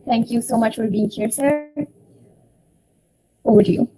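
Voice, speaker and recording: A young woman hosting an online student session, speaking slowly and formally as she welcomes guests. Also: on the high side, mellow, poor audio. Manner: slow, deliberate, formal phrasing. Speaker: event host for a student association.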